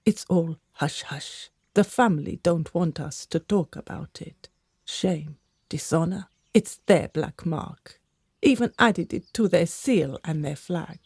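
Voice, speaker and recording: A woman's voice: sits low, slow, a little mellow, husky, no echo, studio quality, heavily acted. A woman playing an older gossiping servant in a radio drama, secretive, theatrical and conspiratorial.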